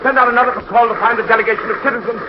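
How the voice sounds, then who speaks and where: Haughty conquering emperor, high commanding voice, declamatory 1940s radio drama, scratchy muffled recording. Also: male voice, steady tone, rapid-fire. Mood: impatient, demanding.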